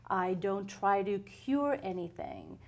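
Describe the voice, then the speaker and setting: A woman in her forties from Puerto Rico, a chiropractor explaining her work in a calm, earnest way as an interview guest. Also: mid-range voice, slow. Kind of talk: interview answers.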